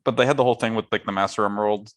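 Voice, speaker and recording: A man's voice: fairly low pitch, steady tone, very fast. A man co-hosting a podcast, talking casually with hesitant, hedging phrasing. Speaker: podcast co-host. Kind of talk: casual movie discussion.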